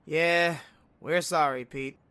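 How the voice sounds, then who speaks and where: A man's voice: on the high side, fairly even intonation, slow pace, sharp tone, smooth, dry recording. A man playing an awed, bumbling junior police officer in an audio drama, speaking hesitantly. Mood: sheepish. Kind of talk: naturalistic audio drama acting.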